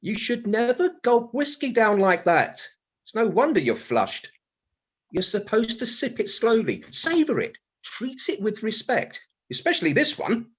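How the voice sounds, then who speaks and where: Radio comedy: sardonic British husband, husky, swinging widely in pitch, prone to grumbles and exclamations. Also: male voice, big pitch swings, unhurried, exaggerated voice. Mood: wry, teasing. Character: grumbling, wry British husband, bored amateur stargazer. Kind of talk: radio play comedy acting, muttered monologue.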